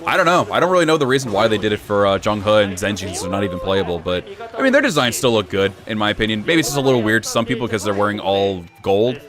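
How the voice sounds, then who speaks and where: A young man streaming, chatting casually in an even, low-key way with sudden bursts of excitement. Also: quick pace, crowd noise. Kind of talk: casual live-stream reaction chat.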